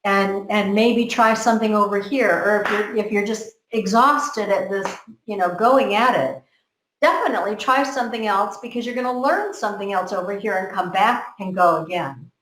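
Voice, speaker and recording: A woman's voice: medium pitch, moderate pace, fairly bright tone, roomy sound, poor audio. A woman from the United States, talking earnestly as a podcast guest and repeating words as she builds each point. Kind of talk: motivational conversation.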